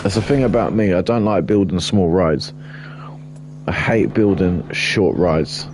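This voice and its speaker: A man with a deep voice giving casual, rambling gameplay commentary while he builds, blunt and chatty. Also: unhurried, darkish tone, a little hiss or hum. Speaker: gaming streamer.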